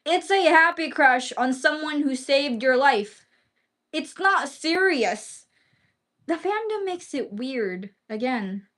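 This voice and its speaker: A woman's voice: sits high, lively intonation, slow, a bit bright. A woman from the Philippines, a Bisaya speaker, chatting casually on a livestream and rambling with lots of fillers and casual swearing.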